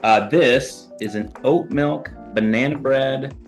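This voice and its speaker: An American man chats casually as a co-host. His voice is of average pitch for a man. Faint music runs behind his speech. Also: slow pace. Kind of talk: casual chat.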